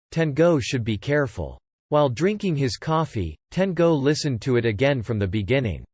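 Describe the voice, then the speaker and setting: A man's voice: mid-range voice, a little mellow, no room sound. A man narrating a scripted novel as an audiobook storyteller.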